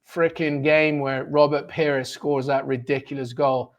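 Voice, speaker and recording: A man in his forties from Peru, a sports journalist telling his story as an interview guest in an animated, enthusiastic way, quick to laugh. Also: medium pitch, lo-fi sound, slight background noise. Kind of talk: casual storytelling in a conversation.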